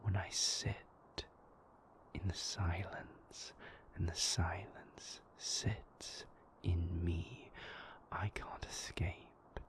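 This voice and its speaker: A man playing a moody, brooding vampire in an intimate audio roleplay, hushed and gravelly, speaking deliberately with weary, dry wit. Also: steady tone, whispered. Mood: brooding, troubled. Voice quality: breathy, hushed, gravelly low voice. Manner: slow, deliberate, formal wording, dryly wry.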